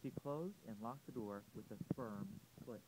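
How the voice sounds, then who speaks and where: A man reading a children's picture book aloud with deliberate, dramatic storytelling. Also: medium pitch, heavy background noise. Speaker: story reader. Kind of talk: expressive picture-book narration.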